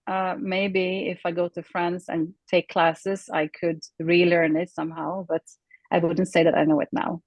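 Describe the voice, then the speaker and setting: A Swedish woman in her thirties, a native Swedish speaker, speaking English casually as a guest on a video call and often pausing to search for words. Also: on the low side, little pitch movement, a little mellow, dry recording. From Sweden. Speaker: interview guest.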